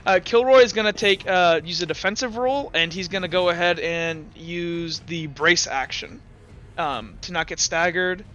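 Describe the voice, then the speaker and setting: A man's voice: high-pitched, normal speed, pushed and bright, lo-fi sound, slight background noise. A man running a tabletop game as game master, talking through the rules and dice aloud and often correcting himself. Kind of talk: narrating and refereeing a tabletop game.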